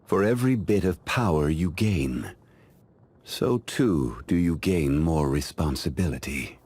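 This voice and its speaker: A male actor playing a calm, dignified, ancient immortal man in a video game, speaking in a measured, formal, refined way. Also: extremely low pitch, husky, heavily acted. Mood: solemn. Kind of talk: scripted video game character voice lines.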